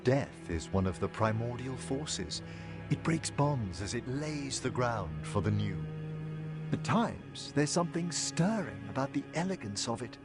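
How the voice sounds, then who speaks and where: An actor playing a refined, earnest scholarly necromancer in a video game, with formal, eloquent and carefully worded speech. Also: male voice, mid-range voice, big pitch swings, measured pace. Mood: composed, fascinated. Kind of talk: video game dialogue acting. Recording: music behind the voice.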